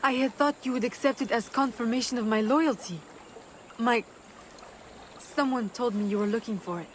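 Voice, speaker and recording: A woman's voice: on the high side, normal speed, slight background noise, natural voice. An actress voicing a determined, defiant young woman assassin in a video game, earnest and insistent. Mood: urgent, earnest. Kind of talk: dramatic video game voice acting.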